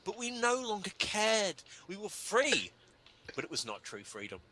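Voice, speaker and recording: Bright, animated man reading with mock gravity. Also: sits high, moderate pace. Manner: mock-grave, pompous, breaking into laughter. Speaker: reader of a humorous article. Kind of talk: theatrical dramatic reading of comic prose.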